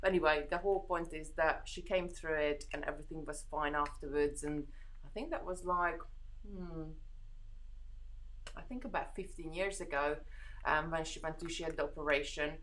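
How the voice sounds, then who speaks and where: A woman's voice: deep voice, moderate pace, a bit bright, low-quality recording. A woman with a Hungarian accent hosting a casual live chat from home, reading viewer comments and answering with a reassuring, rambling manner full of fillers and restarts. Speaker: host of a beading live stream.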